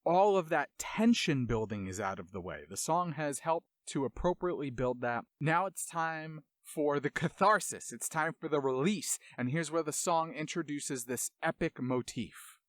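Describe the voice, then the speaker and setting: A man's voice: fairly high pitch, animated, normal speed, hardly any pauses, no echo, studio quality. A man hosting a video essay with casual analytical commentary, dramatic emphasis and colloquial asides.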